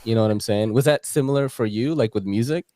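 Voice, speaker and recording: A man's voice: medium pitch, fast talker, dark tone, no room sound. A man from the Philippines who moved to America as a child, hosting a casual podcast chat in a jokey, slangy, swearing way. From from the Philippines, moved to America at seven. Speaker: podcast host.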